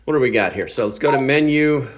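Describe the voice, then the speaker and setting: Male product reviewer, mid-pitched voice, informal and opinionated, relaxed hands-on delivery for an online channel. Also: quick pace, slight background noise. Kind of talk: casual hands-on gear review.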